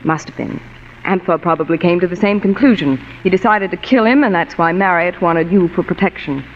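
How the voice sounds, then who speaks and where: Actress for cool, manipulative femme fatale, vintage radio drama. Languid, coaxing delivery; muffled, hissy, echoing recording. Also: female voice, lowish voice, brisk, lightly acted. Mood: confiding. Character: seductive, scheming, cool married blonde femme fatale. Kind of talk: 1940s radio noir acting. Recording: muffled, reverberant, noisy old radio broadcast.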